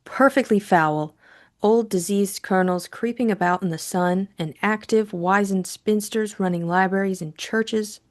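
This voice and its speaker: A woman voicing a brisk, sardonic young upper-class Englishwoman in an audiobook, with a dry, sarcastic manner. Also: medium pitch, close to an everyday voice. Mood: dry disdain. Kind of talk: audiobook character acting.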